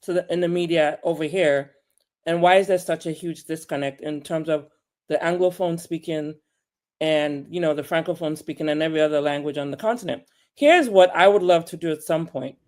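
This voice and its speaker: This is a podcaster from Nigeria speaking in a mid-range voice on a panel discussion. The delivery is animated and emphatic, driving points home with rhetorical questions. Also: medium pitch, fairly quick.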